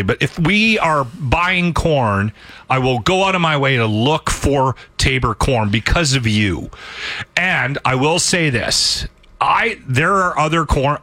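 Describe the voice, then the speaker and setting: Bright, dry-sounding male radio host. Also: mid-range voice, no echo. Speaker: radio morning show host. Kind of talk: casual radio banter. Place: radio studio.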